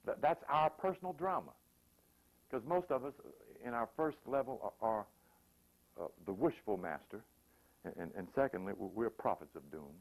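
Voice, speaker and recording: A man's voice: mid-range voice, lively intonation, normal speed, poor audio. A man giving an informal, sermon-like motivational talk, repeating phrases emphatically and acting out examples. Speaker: motivational spiritual speaker.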